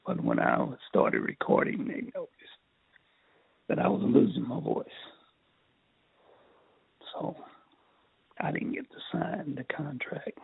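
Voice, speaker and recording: Raspy older man on a phone line. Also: medium pitch, wildly swooping pitch, no echo, whispered. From Texas. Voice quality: raspy, strained voice. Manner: halting, repeats words, laughs at himself. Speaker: interviewee. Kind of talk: casual storytelling interview.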